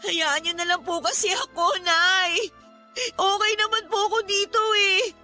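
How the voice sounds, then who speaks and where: Lovesick young mother, very high and bright, tearful, pleading, stubborn, melodramatic radio acting. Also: level tone, unhurried, soft background music, exaggerated voice. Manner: tearful, pleading, deferential but defiant. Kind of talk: Tagalog radio drama acting.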